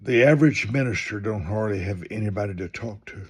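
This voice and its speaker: An older man in his seventies speaks in a somewhat low, husky voice. He is a minister announcing a live stream, wandering from point to point in an unhurried, conversational delivery. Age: 70s.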